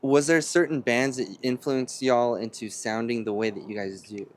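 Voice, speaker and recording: A man in his late twenties hosts a loose, easygoing interview. He swears freely and drops a lot of "y'all" and "legitimately," with an ordinary middle pitch. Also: steady tone, normal speed. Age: late 20s. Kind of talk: casual chat. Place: Boise, Idaho.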